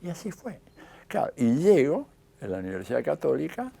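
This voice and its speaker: A man's voice: fairly high pitch, wildly swooping pitch, normal speed, creaky, mellow, husky. An older man with a Rioplatense Argentine accent, telling his story in an interview and acting out the dialogue he quotes.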